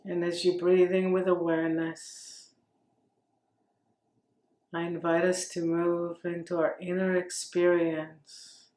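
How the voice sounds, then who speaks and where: A woman leading a guided meditation with gentle, unhurried phrasing and long pauses. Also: on the low side, flat delivery. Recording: roomy, echoing space.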